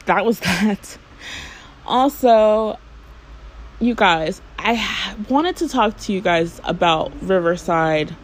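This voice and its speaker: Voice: medium pitch, a little hiss or hum. A podcast host in a casual solo monologue, rambling and chatty, with lots of fillers and the odd swear word.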